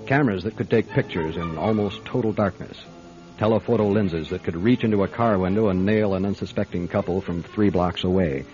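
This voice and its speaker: An actor playing a wary, dry-humored private detective in a radio drama, with a measured, probing delivery. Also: male voice, sits low, a little mellow, faint music behind, natural voice. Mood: dry, narrating. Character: gruff, wary male private detective who narrates. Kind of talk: radio drama acting and first-person narration. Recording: dull lo-fi radio drama recording.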